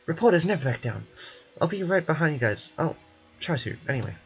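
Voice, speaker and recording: A man voicing a nervous but determined reporter in a video game, halting and unsure. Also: a little high, sing-song, gravelly, faint music behind, pitched above the performer's own voice. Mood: determined, uneasy.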